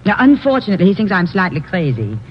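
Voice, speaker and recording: An actress playing a determined, coolly polite foreign correspondent in an old-time radio drama, formal and insistent. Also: female voice, on the low side, very animated, fast. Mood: earnest, intense. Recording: dull, noisy old radio recording.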